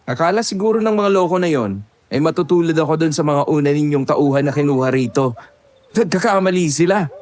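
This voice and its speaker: A principled young farmhand voiced by a male actor with a slightly high voice. He sounds respectful yet resolute, a brave fighter in a rural horror audio drama. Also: lively intonation, fairly quick, a little mellow, natural voice. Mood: determined.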